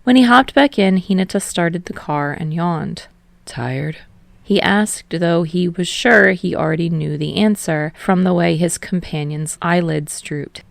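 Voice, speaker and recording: A woman's voice: fairly low pitch, unhurried, vocal fry, hardly any pauses, no room sound. A woman reading a story aloud as an audiobook narrator, in scripted third-person prose.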